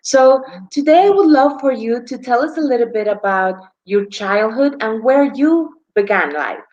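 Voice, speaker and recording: A woman's voice: fairly high pitch, lively intonation, moderate pace, a little mellow, highs cut off. A woman hosting an interview show on a video call, thanking her guest effusively and speaking with frequent fillers. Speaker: show host.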